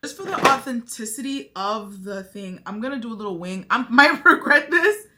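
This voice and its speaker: A woman's voice: on the high side, expressive, moderate pace, crowd noise. A young woman chatting casually to her viewers while doing her makeup, thinking aloud as she goes. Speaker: beauty vlogger. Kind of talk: casual makeup tutorial chat.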